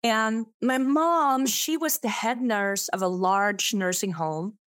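A woman's voice: a little high, takes it slowly, fairly bright tone, no room sound, clean studio sound. A middle-aged woman from Sweden with a Swedish accent, a non-native English speaker, hosting a solo podcast from her home office in an earnest, emphatic way. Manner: earnest, emphatic, rising on key words. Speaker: podcast host.

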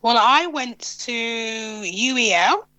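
A woman's voice: fairly high pitch, slow pace, poor audio. A middle-aged woman from England, answering interview questions thoughtfully and often restarting her sentences. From northern England. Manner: thoughtful, with frequent restarts and a lively rising voice. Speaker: guest, psychologist and yoga teacher. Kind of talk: interview conversation.

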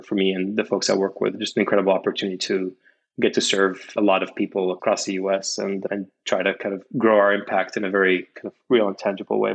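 Low-voiced man from Morocco, reflective. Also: vocal fry, poor audio. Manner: measured, reflective, with fillers and restarts. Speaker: guest, company founder. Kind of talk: casual interview.

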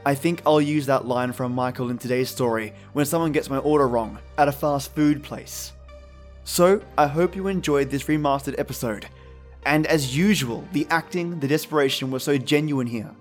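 A man's voice: mid-range voice, normal speed, soft background music. A man hosting a podcast with casual commentary addressed to listeners. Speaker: podcast host.

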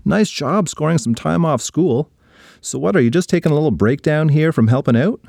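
Man plays a jealous young boy in a kids' storybook: high, bouncy and pleading. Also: brisk, vocal fry, no echo. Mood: sarcastic. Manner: excited, pleading, whiny.

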